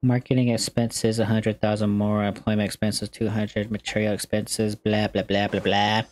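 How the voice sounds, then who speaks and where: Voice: medium pitch, flat delivery, moderate pace, a little mellow, a little hiss or hum. A game streamer giving casual, reactive playthrough commentary in a playful, exclamatory way, talking to the game.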